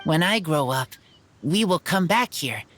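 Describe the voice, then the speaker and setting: A woman voicing an earnest, loyal young boy raised by wolves in a video game, speaking in simple, halting phrases. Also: low-pitched, lively intonation. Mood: heartfelt.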